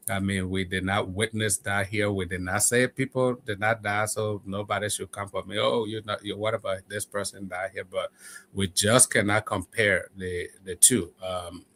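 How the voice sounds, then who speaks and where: A man's voice: fairly low pitch, steady tone, moderate pace, fairly bright tone. A man speaking as a guest on a panel, earnest and upbeat in a casual discussion. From Liberia.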